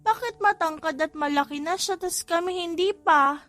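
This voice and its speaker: A woman voicing a nosy, excitable little boy with a childlike, exclamatory manner in an audiobook story. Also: very high-pitched, moderate pace, pitched above the performer's own voice. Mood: curious. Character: bossy, curious little boys, excitable quadruplet brothers. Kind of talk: audiobook character voice in a family romance story.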